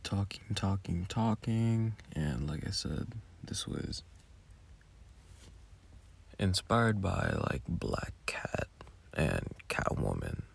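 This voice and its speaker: Low, gravelly, slow-talking man. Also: big pitch swings, in a whisper. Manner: rambling, informal, muttering asides. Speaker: audio roleplay creator. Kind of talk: casual behind-the-scenes commentary.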